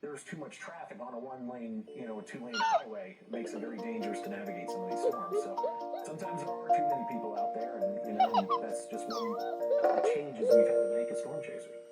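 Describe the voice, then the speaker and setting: Middle-aged male interview guest, conversational, heard from a distance beneath very loud music. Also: medium pitch, wildly swooping pitch, fairly quick. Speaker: storm chaser and meteorologist guest. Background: loud music with other voices mixed in.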